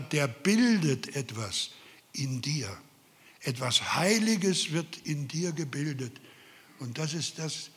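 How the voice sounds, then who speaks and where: A man's voice: fairly high pitch, fairly bright tone, long reverb tail. An older man preaching a sermon, earnest and emphatic, speaking directly to his listeners. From Carpathian Ukraine.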